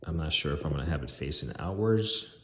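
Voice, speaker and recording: A man with a very low, deep voice talks loosely through his plans, pausing on ums and restarting his sentences. His voice is hoarse and fading. The phone recording has roomy garage echo and a faint hiss behind it. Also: expressive. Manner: halting, lots of ums and restarts. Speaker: DIY garage vlogger. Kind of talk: casual rambling walkthrough. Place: home garage. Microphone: handheld phone camera.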